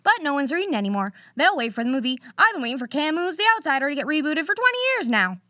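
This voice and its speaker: Woman playing a fast, animated high-voiced pundit. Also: no echo, clean studio sound, full character voice. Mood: mock-serious. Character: opinionated, mock-serious female talk show pundit. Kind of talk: scripted comedy talk show parody.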